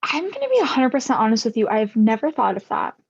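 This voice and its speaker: Eager woman cohost, slightly husky. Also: fairly high pitch, wildly swooping pitch, no room sound. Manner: eager, chatty, quick to agree. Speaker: podcast cohost. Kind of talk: casual chat. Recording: dull, slightly lo-fi mic.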